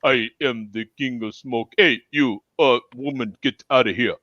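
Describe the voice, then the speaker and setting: A man's voice: mid-range voice, unhurried, dry recording, muffled recording, voice pushed down, lightly acted. Man putting on a bossy mock-Russian braggart. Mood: boastful, bossy. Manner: broken English, imperious. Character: boastful, gruff Russian-sounding smoke king, barking orders.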